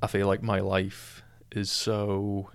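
A young man from Liverpool with a Scouse accent, hosting a casual solo podcast and telling a story in a monotone, sweary way, often tripping over his words. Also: on the low side, slow pace, gravelly, smooth. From Liverpool, England. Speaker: podcast host.

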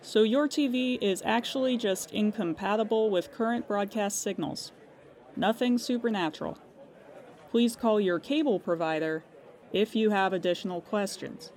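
Woman acting female hotline operator, mid-range pitch, weary, sarcastic, clipped official delivery, audio drama. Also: moderate pace, a little mellow, natural voice. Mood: dry, official. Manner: dry, exasperated, clipped official phone manner.

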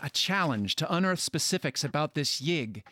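Polite young scholar, lightish voice. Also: male voice, fairly high pitch, animated, normal speed, bright, forceful tone. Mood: earnest, respectful. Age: young adult. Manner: polite, slightly hesitant. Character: polite, earnest, deferential young male ethnographer. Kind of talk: old-time radio drama acting.

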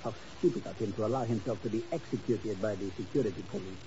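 An actor playing a grandiose, sly baron in a 1950s radio drama, effusive and self-adoring with theatrical endearments. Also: male voice, fairly low pitch, quick pace, natural voice. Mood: mock sorrow, smug. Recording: hissy, dull vintage radio recording.

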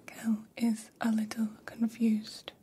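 A woman voicing a gentle, curious female alien in an ASMR roleplay, speaking in a breathy whisper with careful, formal phrasing. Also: on the high side, completely flat delivery, slow pace, a little hiss or hum. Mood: gentle, reassuring. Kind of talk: ASMR personal attention roleplay.

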